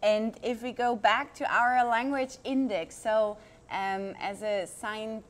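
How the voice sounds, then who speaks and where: A woman's voice: fairly high pitch, fairly even intonation, takes it slowly. A woman interviewing guests, asking curious questions and rephrasing them as she goes.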